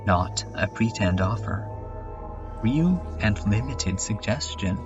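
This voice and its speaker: A man's voice: fairly low pitch, animated, takes it slowly, highs cut off. A man voicing an uncanny, creepily polite stranger with stilted, formal, broken phrasing in a horror comedy dub. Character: uncanny stranger making polite, creepy body-swap offers. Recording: music under the voice.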